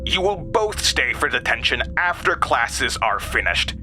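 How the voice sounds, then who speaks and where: A man plays an ancient, sinister schoolmaster in a horror audio drama. He speaks slowly and formally, with stern menace, over music. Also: medium pitch, big pitch swings, pushed and bright, full character voice. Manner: formal, slow, threatening.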